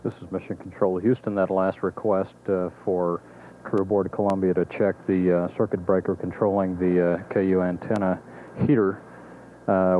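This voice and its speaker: An American man giving measured, matter-of-fact commentary as a mission control announcer, pausing often as he explains. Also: sits low. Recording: muffled narrow-band archival broadcast audio with hiss.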